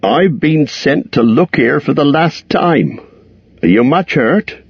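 A man voices a swift, earnest searcher in a read-aloud story, his voice raised well above the reader's own. The delivery is urgent and steady, husky and dulled by a lo-fi recording. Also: a little high, slow pace, no room sound, voice pushed up, heavily acted. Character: grown male rescuer, swift and earnest. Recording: dull lo-fi recording.

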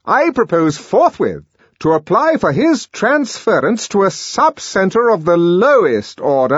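A pompous official pronouncing judgment in a raised, swooping voice, as a male reader acts him out. His delivery is slow and grand. The sound is dry and slightly dull. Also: high-pitched, slightly rough, no room sound, voice pushed up, heavily acted. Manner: pompous, declamatory.

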